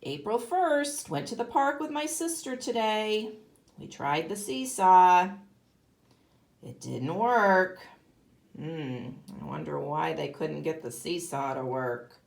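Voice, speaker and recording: A woman kindergarten teacher reading a picture book aloud expressively to young children, pausing to ask them questions. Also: on the low side, lively intonation, creaky. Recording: roomy, echoey sound.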